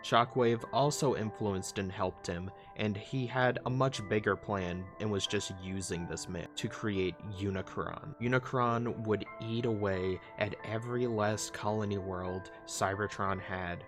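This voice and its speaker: A man's voice: lowish voice, measured pace, few pauses. A man reading scripted, dramatic narration for a story recap video, with music playing behind him. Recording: loud music under the voice.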